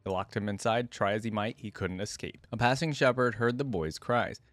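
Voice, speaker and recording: A man with a fairly low voice gives casual reaction commentary and reads lore aloud. His delivery is informal, as if thinking out loud, and the sound is dry and close. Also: a little fast, no room sound. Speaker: reaction video host.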